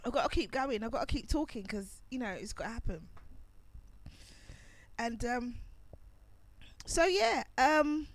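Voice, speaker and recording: A woman's voice: a little high, expressive, a little slow, long pauses, no echo. A woman hosting a pre-recorded radio show, chatting casually about astrology while losing her voice and coughing. She rambles and corrects herself as she goes.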